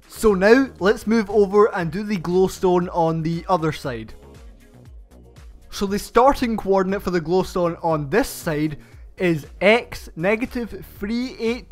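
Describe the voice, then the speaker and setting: A young man with a stuffed-up, nasal voice from hay fever, hosting a game build tutorial and giving slow, methodical step-by-step instructions. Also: a little high. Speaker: channel host.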